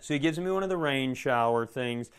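A man's voice: medium pitch, animated, brisk, clear, resonant tone. A man co-hosting a comedy podcast, telling stories in casual chat with sarcasm, swearing and acted-out dialogue.

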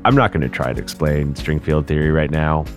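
A man hosting a podcast and reading a scripted introduction in a measured way. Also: very deep voice. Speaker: podcast host. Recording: clear music bed under voice.

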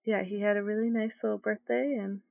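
A woman's voice: mid-range voice, flat delivery, creaky, no echo, lo-fi sound. A woman co-hosting a casual podcast who chats about her dog and laughs easily. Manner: chatty and giggly, quick reactions. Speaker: podcast co-host.

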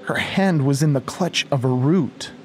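A man narrating a scripted horror audio drama in a measured, literary storytelling manner. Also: medium pitch, a little hiss or hum.

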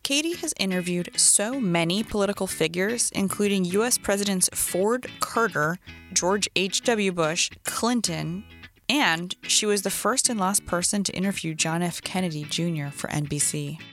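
A woman hosting a podcast, asking interview questions, reading introductions, and reading an ad in an upbeat, quick-reacting way. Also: on the low side, fairly bright tone, soft background music. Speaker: podcast host.

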